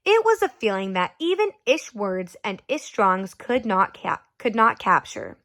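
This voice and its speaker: Animated woman reading a storybook to children. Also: medium pitch, moderate pace, no echo, clean studio sound. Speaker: teacher reading aloud to children. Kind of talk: expressive storybook read-aloud with questions to children.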